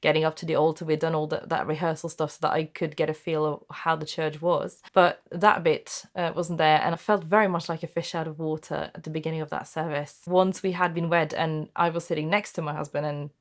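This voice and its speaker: A woman's voice: sits low, little pitch movement, fairly quick, few pauses. A woman from Norway, a native Norwegian speaker, talking thoughtfully and personally in a conversation. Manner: thoughtful, personal, frequent self-corrections. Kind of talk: conversational discussion.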